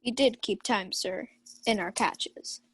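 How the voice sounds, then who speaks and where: A woman's voice: medium pitch, slow, fairly bright tone, slightly rough, no echo. A young woman playing a drunken, rowdy, flippant uncle in a Shakespeare radio play. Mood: defiant. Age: young adult. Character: Sir Toby Belch, drunken rowdy carousing uncle.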